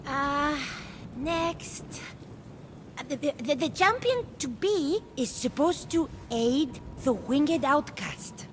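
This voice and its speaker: An actress playing a scatterbrained, blunt and excitable goddess mentor in a video game, halting as she goes. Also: high voice, slight background noise. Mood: flustered. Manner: halting, flighty, childlike enthusiasm. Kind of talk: video game cutscene voice acting. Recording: loud music behind the voice. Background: music.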